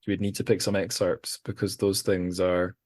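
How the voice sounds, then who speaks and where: A man with a very low voice hosts a podcast episode as a casual group chat. He wanders through thoughtful asides. The sound is dry and close, with little room around it. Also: flat delivery, normal speed, a little mellow, dry recording. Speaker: podcast host.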